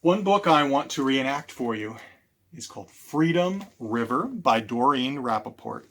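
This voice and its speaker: A man hosting a library storytime for children, animated and speaking directly to his listeners as he presents and tells stories. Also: medium pitch, unhurried. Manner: animated, repeats key phrases, addresses listeners directly.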